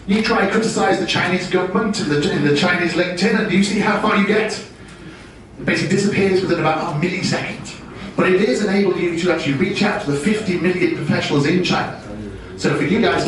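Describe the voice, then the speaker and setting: A man's voice: sits high, fast talker, a crowd in the background. A British man giving a business seminar talk with a jokey, self-deprecating manner. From United Kingdom. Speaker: presenter. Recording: very echoey room, distant mic.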